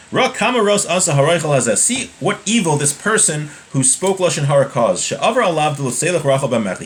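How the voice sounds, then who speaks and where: A man's voice: mid-range voice, a bit bright. A man in his thirties teaching from a webcam in a small home room, reading Hebrew passages aloud and explaining each one in casual English. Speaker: Torah teacher. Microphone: webcam at desk.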